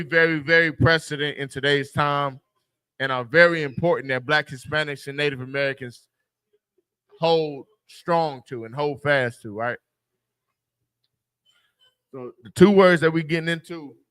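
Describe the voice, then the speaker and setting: A man's voice: a little high, sharp tone, clean tone, no echo. A man casually teaching a class in a loose, slangy way, with a joke about himself now and then. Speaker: class instructor. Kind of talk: casual spoken lesson.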